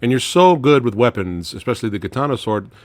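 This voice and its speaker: A man hosting a podcast interview who asks eager, quick questions and gives short reactions. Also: medium pitch, animated, no room sound. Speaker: podcast host.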